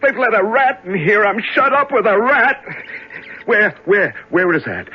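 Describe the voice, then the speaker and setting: A frantic prisoner in the dark. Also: male voice, deep voice, wildly swooping pitch, slow pace, vocal fry, slightly rough, full character voice. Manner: panicky then hushed self-soothing. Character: terrified man locked in a dark room. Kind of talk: 1950s radio drama monologue. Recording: muffled old radio broadcast, faint music.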